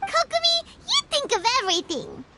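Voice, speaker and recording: A woman voicing a small, excitable, earnest childlike fairy companion in an anime-style video game, in a singsong, exclamatory way. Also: very high-pitched, normal speed. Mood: excited.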